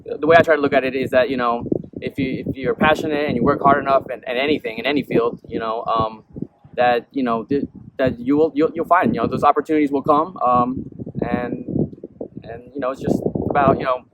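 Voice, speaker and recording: A man's voice: mid-range voice, a little fast. A young man born in Peru, a musician being interviewed, who rambles casually and fills his talk with "you know" and "um". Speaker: musician guest. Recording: noisy background.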